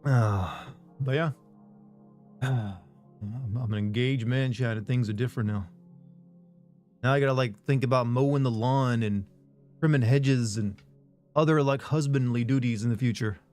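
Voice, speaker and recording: A man's voice: medium pitch, measured pace, long pauses, a little mellow. Regular guy streamer, mid-range voice, jokes at his own expense while reading chat; faint music bed. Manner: rambling, self-deprecating joking with chat. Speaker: game streamer. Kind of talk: casual live-stream chat, reading out viewer messages.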